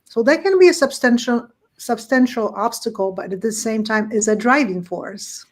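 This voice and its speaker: Woman, podcast guest, reflective, hesitant fillers, fairly high voice. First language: Polish. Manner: reflective, pauses with fillers and restarts. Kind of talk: casual conversational interview.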